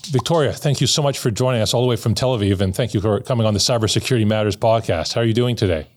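A man's voice: on the low side, racing, a little mellow, soft background music. A man hosting a podcast interview, asking friendly, rambling questions full of fillers and restarts. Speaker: podcast host.

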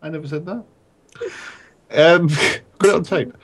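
Husky man in his late thirties. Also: a little high, steady tone, unhurried, a bit bright. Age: 30s. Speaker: podcast co-host. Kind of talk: casual banter.

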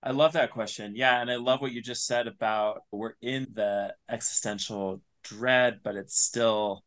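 A man's voice: mid-range voice, steady tone, unhurried, a bit bright, no room sound. A man speaking as an interview guest in casual, reflective conversation, earnest and informal.

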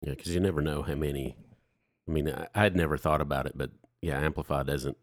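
A man, hosting a casual faith conversation in a reflective, halting, informal way. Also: a bass voice, steady tone, no room sound, clean studio sound. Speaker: host.

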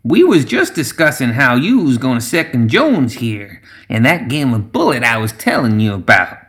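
A man's voice: mid-range voice, big pitch swings, moderate pace, fairly bright tone, pitched above the performer's own voice. A man voicing a shrewd, businesslike backwoods woman with a folksy, matter-of-fact manner in a fantasy storybook.

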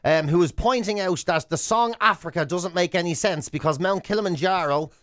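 An Irish man hosting a breakfast radio show, bantering in a mock-earnest, deadpan comic way. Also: sits high, brisk, dry recording, studio quality. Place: radio studio.

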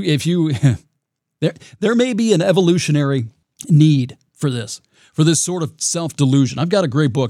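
A man with a raspy voice hosting his own podcast, talking in a reflective, rambling way with frequent restarts. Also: a little high, dark tone, no echo, clean studio sound. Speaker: podcast host. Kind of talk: solo podcast monologue.

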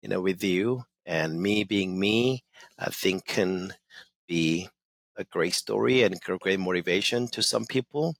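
Man, gravelly, lowish voice, earnest, halting, dry studio sound. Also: no echo. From Korean-American. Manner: earnest, hesitant, many fillers and restarts. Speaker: emcee and motivational speaker, guest. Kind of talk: radio interview, casual answers. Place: radio studio.

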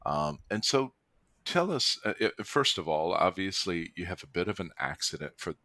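A man with a very low, deep voice co-hosts a podcast in an easygoing chat. He talks freely, corrects himself mid-sentence and laughs easily. The sound is dry and close, with no room echo. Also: very animated, normal speed, pushed and bright. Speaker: podcast co-host.

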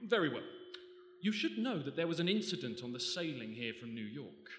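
An actor playing a deferential, cautious ship's officer in a live radio play, formal and slightly hesitant. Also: male voice, mid-range voice, big pitch swings, fairly quick, a bit bright, a little hiss or hum. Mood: grave. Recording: echoey hall, live stage.

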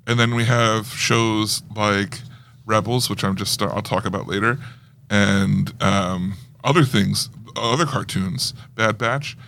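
A man's voice: on the low side, takes it slowly, gravelly, fairly bright tone, a little hiss or hum. A man co-hosting a casual chat show, explaining fan lore at length in a geeky way. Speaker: podcast co-host reviewing film news.

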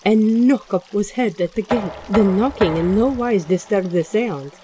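A woman narrating a scripted story in expressive, archaic storytelling style, with music behind her. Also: mid-range voice, a little slow, noisy background.

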